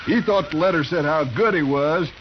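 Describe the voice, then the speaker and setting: A man's voice: on the high side, moderate pace, close to an everyday voice. An actor playing a bullying drifter gunman in a radio western, blunt and irritable. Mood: irritated. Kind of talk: 1950s radio western acting. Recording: muffled, faintly hissy old radio recording.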